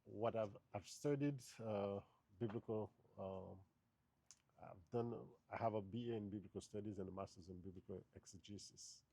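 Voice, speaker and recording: A man's voice: fairly low pitch, moderate pace, creaky, dark tone. A man from Haiti, in his thirties, answering interview questions in a thoughtful, hesitant way with many fillers and restarts.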